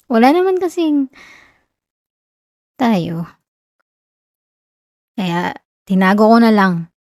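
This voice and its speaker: A young Filipino woman acting a whiny, shy tsundere college girl confessing love in a soft, hesitant ASMR roleplay. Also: mid-range voice, no room sound, studio quality.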